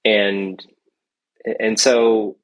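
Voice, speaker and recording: A man's voice: fairly low pitch, level tone, extremely slow, rough recording. A middle-aged man, an entrepreneur guest in a casual interview, rambling with many restarts and tag questions. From United States.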